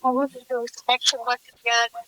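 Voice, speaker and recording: A woman's voice: a little high, little pitch movement, brisk, sharp tone. A woman with a Bangladeshi accent, chatting casually on a phone call. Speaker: prank call recipient.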